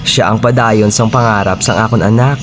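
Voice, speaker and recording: A man's voice: fairly low pitch, fairly even intonation, normal speed, music in the background, natural voice. A man with a Filipino accent acting a grieving, earnest father who heads a modeling agency in an amateur radio drama. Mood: tender.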